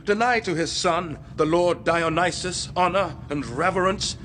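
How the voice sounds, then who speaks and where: An actor playing a proud, charismatic young god in a classical radio drama, speaking in a solemn, measured, incantatory way. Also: male voice, high voice, sharp tone. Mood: defiant, prophetic.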